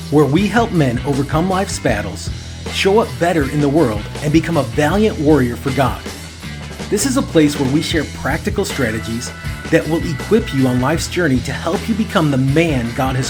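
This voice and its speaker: A man delivers a scripted podcast opening in a rousing, declarative way. His voice sits a little high, and loud guitar music plays under him the whole time. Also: animated, moderate pace. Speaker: podcast intro announcer. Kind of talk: scripted show intro over music.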